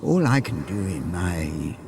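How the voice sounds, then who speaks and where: An actor playing an ancient, disembodied intelligence in a radio drama, breathy, measured and courteous with an eerie edge. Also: male voice, low-pitched, big pitch swings, darkish tone, a little hiss or hum, full character voice. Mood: gently regretful.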